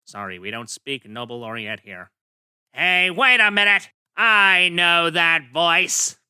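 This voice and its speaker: A high, smooth and bright voice for a sarcastic male cartoon squid cashier, as a man plays him. He drawls slowly with dry scorn. The sound is close and dry. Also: no room sound, heavily acted. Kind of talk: comic fan dub.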